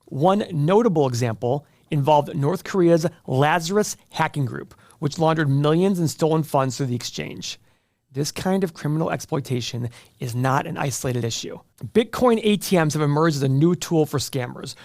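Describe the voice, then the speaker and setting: A man's voice: a little high, animated, normal speed, darkish tone, no echo, clean studio sound. An American man hosting an investing channel, giving opinionated finance commentary in an emphatic, argumentative way straight to the viewer.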